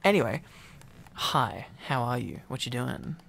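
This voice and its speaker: A young man doing a boyfriend ASMR roleplay, clingy, teasing and silly, rambling playfully with halting repetitions. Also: mid-range voice, expressive, vocal fry, a bit bright.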